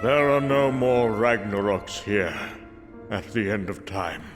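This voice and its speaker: Man acting a slow, weary old god in cavernous reverb. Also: fairly low pitch, animated. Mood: mournful resignation. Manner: slow, solemn, grave. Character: old weary Norse thunder god, last king of ruins. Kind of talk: dramatic comic book narration. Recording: long hall reverb over loud music. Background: bowed string music.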